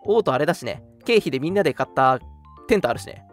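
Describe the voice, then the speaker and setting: A young man chats casually as a radio co-host over background music. He talks quickly and his pitch jumps about a lot. He is agreeable and chatty, often echoing his partner. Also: lively intonation. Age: young adult. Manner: agreeable, chatty, frequent backchannels. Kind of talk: casual chat. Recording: music bed under the talk.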